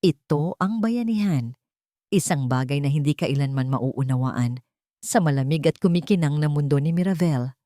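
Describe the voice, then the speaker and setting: A woman reading a scripted first-person dramatic story in a sorrowful, deliberate manner. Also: deep voice, animated, dark tone, no echo, clean studio sound. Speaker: story narrator.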